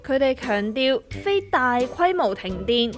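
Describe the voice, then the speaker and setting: Actress as a knowing Hong Kong woman, highish voice. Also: very animated, measured pace. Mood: matter-of-fact. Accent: Hong Kong Cantonese. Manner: explanatory, chatty. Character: plain-spoken, knowing woman explaining the news. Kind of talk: comedic radio drama. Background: music.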